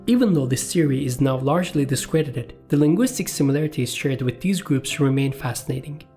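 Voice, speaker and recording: A man who grew up speaking Turkish narrates an educational video at a middle pitch. He reads in a measured, list-like way. Soft music plays faintly behind him. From Turkey. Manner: measured, list-reading delivery. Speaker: language video host.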